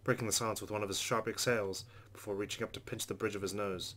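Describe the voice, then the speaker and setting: A man's voice: on the low side, fairly even intonation, quick pace, a bit bright, slight background noise. A man hosting a gameplay video, reading visual novel narration expressively and adding casual asides. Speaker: let's play host reading a visual novel aloud.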